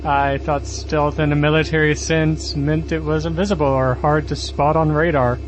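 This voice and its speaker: A man's voice: on the high side, level tone, natural voice. Man playing a hesitant, naive magic user, lightish voice. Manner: hesitant, halting. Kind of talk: comedic audio drama acting. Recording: clear engine and vehicle noise.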